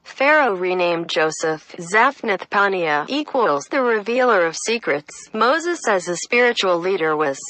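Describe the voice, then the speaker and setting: A woman reading a reference text aloud, deliberate and flat, spelling out citations. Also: medium pitch, poor audio. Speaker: reader.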